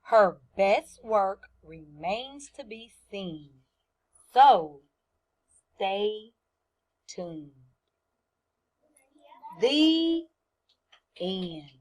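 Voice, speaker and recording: Woman, elementary teacher, very slow delivery, medium pitch, emphatic and deliberate, read-aloud for young children. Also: sing-song, a little mellow. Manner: deliberate, emphatic, questioning children and pausing for answers. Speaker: first grade teacher.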